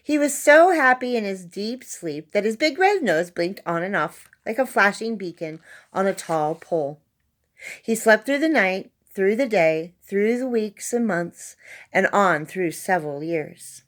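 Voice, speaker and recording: A woman's voice: medium pitch, animated, takes it slowly. A woman reading a children's story aloud as narrator, expressively and with dramatic emphasis on key words. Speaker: storybook reader.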